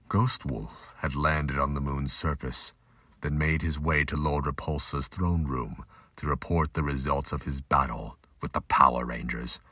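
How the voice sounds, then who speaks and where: A man's voice: very deep voice, sharp tone, breathy edge, dry recording. A man delivering scripted, emphatic narration for an action audio drama.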